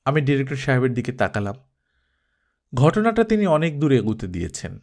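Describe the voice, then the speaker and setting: A man narrates an audiobook with dramatic flair. His medium-pitched voice moves through very lively intonation as he reads the scripted story. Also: fairly quick.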